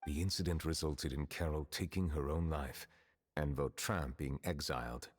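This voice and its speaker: Game casting: solemn dragon-born chief justice, extremely deep voice, formal, restrained, measured. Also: male voice, steady tone, slight background noise. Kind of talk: scripted video game dialogue. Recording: background music under the voice.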